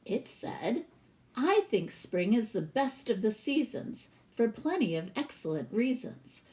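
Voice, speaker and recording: A woman's voice: fairly high pitch, very animated, a little mellow. A woman who works as a teacher reads a children's story aloud expressively, with casual asides to explain the pictures. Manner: pauses to explain the pictures to listeners.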